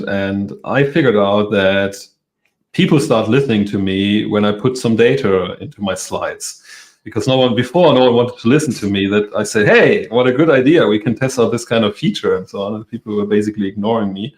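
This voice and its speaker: A man's voice: lowish voice, fairly quick. A man from Europe, a native German speaker, talking as a guest in a casual interview, hesitant with self-deprecating laughs.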